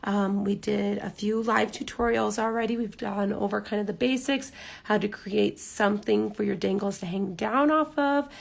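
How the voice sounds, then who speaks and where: A woman with a hoarse, raspy voice leading a live craft tutorial, chatty with lots of ums, dropping almost to a whisper as she works. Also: medium pitch, moderate pace. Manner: chatty, relaxed, frequent ums, drops to near-whisper while focusing. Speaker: rock painting instructor.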